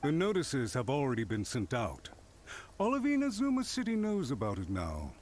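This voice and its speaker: A man's voice: medium pitch, huge pitch swings, normal speed, a little mellow. A man voicing a haughty, scheming clan official in an anime-style game, formal, pompous and emphatic. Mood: defiant.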